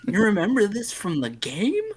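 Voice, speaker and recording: A man's voice: high voice, very animated, moderate pace, darkish tone, slight background noise. A man giving blunt, dismissive opinions in a casual podcast chat, repeating his points for emphasis. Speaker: podcast panelist.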